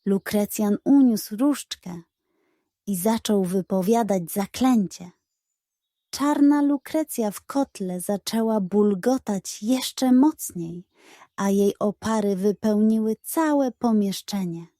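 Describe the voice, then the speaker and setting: Woman, bedtime story narrator, unhurried, soft dark tone, expressive, dry close recording. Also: a little high, dry recording. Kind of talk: scripted bedtime fairy-tale narration.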